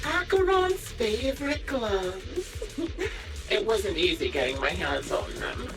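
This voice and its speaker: A woman playing a taunting, sadistic female sniper villain in a video game, with theatrical, mocking, drawn-out delivery. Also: medium pitch, lively intonation, recorded from a distance. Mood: mock pity. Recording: voice over loud game music.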